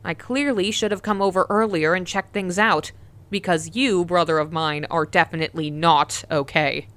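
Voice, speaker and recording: A woman's voice: fairly low pitch, steady tone, normal speed, a bit bright, lightly acted. A woman playing a caring, bossy, teasing sister and mother in a scripted comic dub. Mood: fussing. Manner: fussing, playful, insistent.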